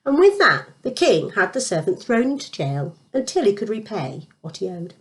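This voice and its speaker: A woman reading a scripted Bible story for children, with deliberate emphasis. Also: medium pitch, sing-song.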